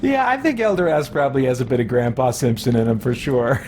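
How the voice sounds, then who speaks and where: A man's voice: medium pitch, fast talker, darkish tone, a little hiss or hum. A man chatting casually as the host of a gaming livestream. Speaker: livestream host playing a game.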